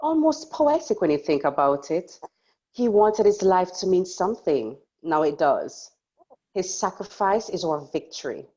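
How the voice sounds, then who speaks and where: On a dull, lo-fi recording, a woman plays a sinister female cult leader in a thriller drama. She is commanding and smug, speaking solemnly and deliberately with a lively, swinging pitch. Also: husky, heavily acted. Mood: smug, menacing. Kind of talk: table read of a thriller script.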